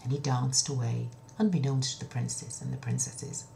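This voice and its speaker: A woman telling a children's story in an expressive, dramatic way, with breathy dips toward a whisper and a chatty, confiding touch. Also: extremely low pitch, normal speed, slight background noise, poor audio. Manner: chatty asides to the listener. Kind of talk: children's story narration, told in own words.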